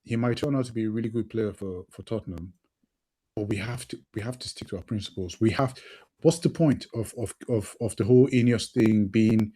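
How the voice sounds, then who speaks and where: Casting: male co-host, low mellow voice, sniffly, fervent, stumbles over repeated words. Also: fairly quick. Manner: passionate, repeats words while thinking, swears. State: sniffly from hay fever. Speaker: podcast co-host. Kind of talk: casual football podcast chat.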